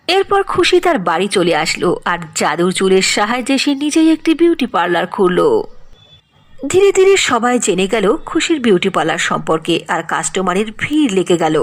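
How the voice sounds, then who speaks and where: A woman narrating a scripted cartoon fairy tale as an expressive storyteller. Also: medium pitch.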